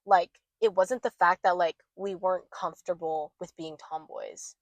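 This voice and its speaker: Brief: young female podcast co-host, lowish voice, dry close mic, loose candid rambling. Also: fairly even intonation, moderate pace, no echo. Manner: rambling, heavy use of "like", candid. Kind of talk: casual chat.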